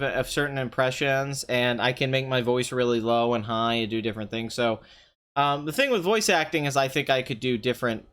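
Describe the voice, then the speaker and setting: A young man with a somewhat high, nasal voice, strained and hoarse, chatting casually on his live stream and answering viewers' comments. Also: a bit bright. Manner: chatty, rambling, often clears throat, reads chat aloud.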